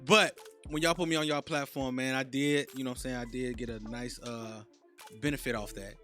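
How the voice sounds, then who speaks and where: A man's voice: mid-range voice, steady tone, fairly quick, fairly bright tone, soft background music. A man hosting a casual podcast interview, hyped and profane, repeating lines for emphasis. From Chicago south suburbs. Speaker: podcast host.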